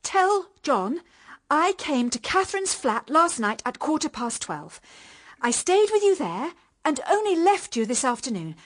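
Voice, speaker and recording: An actress with a British accent playing a desperate wife, urgent and pleading, in a radio drama. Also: female voice, high-pitched, big pitch swings, unhurried. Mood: urgent, conspiratorial. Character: desperate, pleading unfaithful wife, manipulative older sister.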